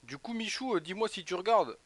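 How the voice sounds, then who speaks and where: A man's voice: high voice, animated, a little fast. Young man rambling through a live stream. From northeastern France. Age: young adult. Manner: rambling, chatty, talks straight to viewers by name. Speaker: Twitch streamer. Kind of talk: casual live football commentary while chatting with viewers.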